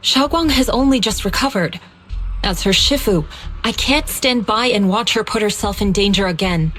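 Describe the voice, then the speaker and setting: A woman's voice: medium pitch, moderate pace, fairly bright tone, faint music behind, lightly acted, rough recording. Woman acting a formal, earnest martial master. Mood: pleading. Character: stern, protective woman martial master, devoted to her pupil. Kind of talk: video game voice acting.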